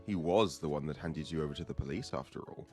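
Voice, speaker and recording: A man's voice: very deep voice, fast talker, a little mellow, faint music behind. A man playing a cynical, gloating prosecutor in an audio drama, smug and menacing in a formal way.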